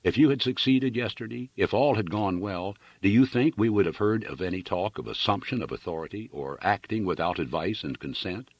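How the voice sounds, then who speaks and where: A man's voice: lowish voice, brisk, hardly any pauses, no room sound. A man voicing an indignant, fiercely loyal political lieutenant in an audiobook, urging and exhorting his chief. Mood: indignant, urgent. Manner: urgent, exhorting. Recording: dull lo-fi sound.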